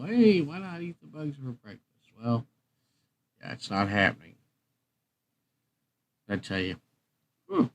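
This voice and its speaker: A man hosting a live stream, chatting casually to viewers in a rambling, folksy way. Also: medium pitch.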